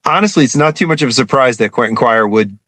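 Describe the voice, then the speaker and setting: A man's voice: mid-range voice, fast, fairly bright tone, dry recording. A man co-hosting an interview, speaking casually and conversationally. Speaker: interview show co-host.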